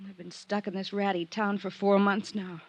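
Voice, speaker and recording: An actress playing a sultry, world-weary drifter stranded abroad in a 1950s radio drama, earnest and pleading, with weary pauses. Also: female voice, medium pitch, little pitch movement, dry recording, lightly acted. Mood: weary, regretful. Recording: old radio drama recording.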